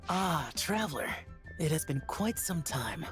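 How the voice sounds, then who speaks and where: A reserved young immortal warrior from a video game. The voice is solemn, subdued and terse. Faint music plays behind it. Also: male voice, fairly high pitch, lively intonation, unhurried. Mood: grave.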